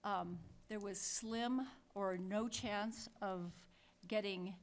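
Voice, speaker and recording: A woman's voice: medium pitch, steady tone, slow pace. A woman chairing a town council meeting, speaking plainly and thinking aloud with frequent restarts.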